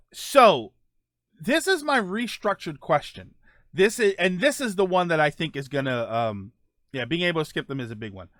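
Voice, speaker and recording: A man's voice: on the high side, a bit bright, dry recording, clean studio sound. A man hosting a casual live stream, thinking aloud while reading viewer comments, often restarting his sentences. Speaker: streamer host reading chat.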